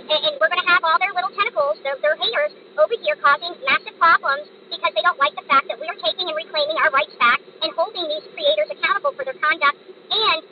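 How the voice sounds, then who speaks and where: Very high-pitched, fast-talking American woman in a car. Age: middle-aged. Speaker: online creator. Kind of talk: casual vlog monologue to viewers. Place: inside a car. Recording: muffled audio with loud vehicle noise.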